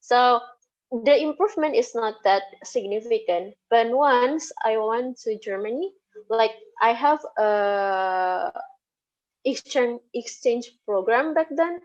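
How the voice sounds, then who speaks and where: Woman lecturer, Indonesian accent, Sundanese native. Unhurried, hesitant interview answers, slightly dull recording. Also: a little high. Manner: hesitant, halting, repeats words. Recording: dull, lo-fi audio.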